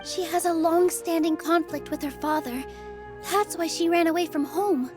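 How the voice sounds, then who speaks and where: A woman playing a gentle, earnest young theater dancer in a video game. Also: extremely high pitch, moderate pace, music in the background. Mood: earnest, determined.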